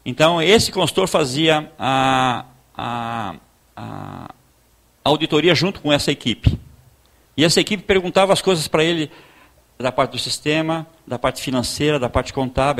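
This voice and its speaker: Southern Brazilian pastor telling a story. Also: male voice, mid-range voice, fairly bright tone. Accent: southern Brazilian, Rio Grande do Sul. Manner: conversational storyteller acting out dialogue, halting with repeated words. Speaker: pastor giving a talk. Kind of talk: informal sermon with personal testimony.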